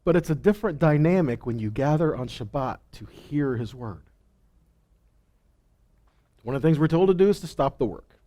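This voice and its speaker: A man's voice: on the high side, lively intonation, normal speed, pauses often, warm tone. A man giving an informal sermon as a Bible teacher, reading verses and commenting conversationally with rhetorical questions and imagined replies.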